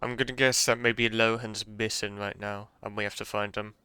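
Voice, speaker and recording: A woman streamer reacting casually to a video game in an offhand, deadpan way. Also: extremely low pitch, normal speed, clear, resonant tone. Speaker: gaming streamer.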